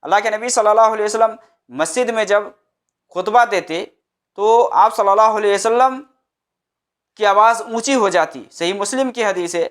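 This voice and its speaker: A man's voice: high voice, a bit bright. A man giving an Islamic religious lecture, explaining step by step and repeating phrases for emphasis. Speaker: Islamic preacher. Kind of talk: religious lecture with scripture quotations.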